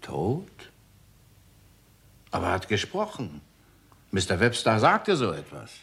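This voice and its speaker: An actor playing a flippant, touchy Hollywood suspect in a 1960s German radio crime play, with a sardonic, needling manner. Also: male voice, fairly low pitch, wildly swooping pitch, takes it slowly, gravelly, fairly bright tone, breathy edge, full character voice. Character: flippant, touchy Hollywood man under suspicion.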